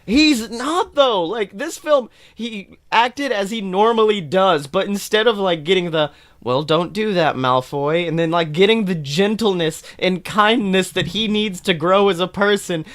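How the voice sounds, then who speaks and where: Exasperated higher-pitched man ranting casually. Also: dry recording, studio quality. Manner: animated, exasperated, sweary complaining. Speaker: podcast host. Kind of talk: casual chat, comic film rant.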